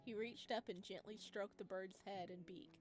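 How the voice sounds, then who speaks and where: A woman hosting a let's play, narrating a visual novel and reacting casually in an expressive, informal way. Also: medium pitch, moderate pace. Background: music.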